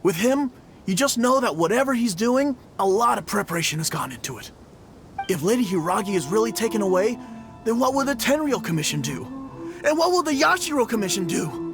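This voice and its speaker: An inquisitive young soldier. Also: male voice, high-pitched, very animated, a little fast, fairly bright tone, soft background music, heavily acted. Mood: thoughtful.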